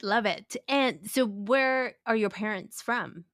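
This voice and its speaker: A woman's voice: a little high, slow pace, a bit bright, no echo, studio quality. A woman hosting a podcast, chatting casually, searching for words and laughing easily. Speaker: podcast host. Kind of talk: casual interview chat.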